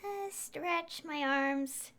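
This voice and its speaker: A woman streaming a game, chatting casually and reading on-screen text aloud in a hesitant, unhurried way. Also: high voice. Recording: soft music behind the voice. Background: game music.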